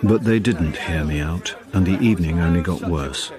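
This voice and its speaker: An actor playing a wry middle-aged man who narrates his own misfortunes in a radio drama, dry and deadpan. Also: deep voice, moderate pace, a loud crowd, lightly acted.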